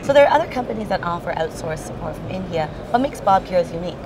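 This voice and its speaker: A woman with a slightly low voice conducts a casual business interview, speaking informally and affirming as she goes. There is noticeable ambient noise from an event around her. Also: lively intonation, quick pace. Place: busy hosting industry event. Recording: noisy event location, traffic-like ambient noise.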